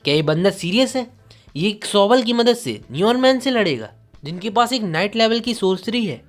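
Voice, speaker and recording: Male narrator as mocking male bystander, incredulous gossip, animated higher delivery, music behind. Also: normal speed.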